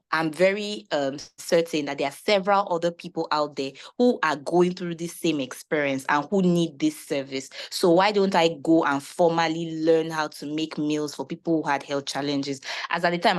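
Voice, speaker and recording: A woman giving personal testimony and advice as a panelist, speaking in a reflective, earnest way. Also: low voice. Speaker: chef and panelist.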